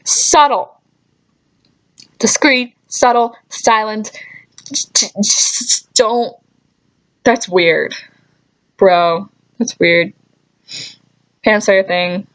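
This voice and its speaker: A woman's voice: mid-range voice, fairly even intonation, very slow, long pauses, a bit bright, rough recording. A woman vlogger telling stories casually, with a joking, mock-scolding manner and dry asides. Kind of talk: casual comedic storytelling to camera.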